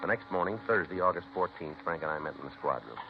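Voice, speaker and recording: An American man playing a terse, matter-of-fact police detective in a 1950s radio drama, with a clipped, deadpan, businesslike delivery. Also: low-pitched, quick pace, over music. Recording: noisy, muffled 1950s radio recording.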